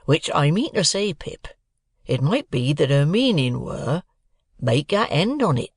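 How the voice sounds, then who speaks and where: A man voicing a slow, kindly rustic blacksmith who speaks deliberately in country dialect. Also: a little high, sing-song, slightly rough, no echo, studio quality, full character voice.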